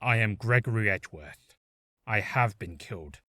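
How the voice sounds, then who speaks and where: A man's voice: fairly low pitch, expressive, normal speed, pushed and bright, dry recording, studio quality. A man voicing the solemn ghost of a murdered man, speaking in a grave, declarative way for a game reel. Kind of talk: character voice reel, game acting.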